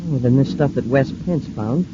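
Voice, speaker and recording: An actor playing an earnest, puzzled young space cadet sidekick with a boyish, questioning manner in an old-time radio serial. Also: male voice, mid-range voice, normal speed, close to an everyday voice. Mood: curious. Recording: noisy, muffled old radio recording.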